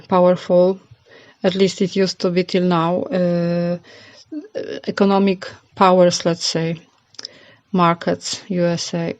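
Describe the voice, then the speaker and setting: A woman's voice: on the low side, a little mellow. A middle-aged woman from Poland with a Polish accent, giving a casual opinion monologue to her channel in a hesitant, rambling way with frequent repeats. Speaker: channel host. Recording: roomy, slightly dull home recording.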